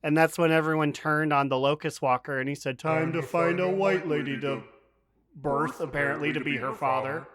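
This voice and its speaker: A man's voice: fairly high pitch, steady tone, normal speed, fairly bright tone. A man co-hosting a podcast, casually retelling a story with jokes and imagined quotes. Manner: joking, riffs in imagined quotes. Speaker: podcast co-host.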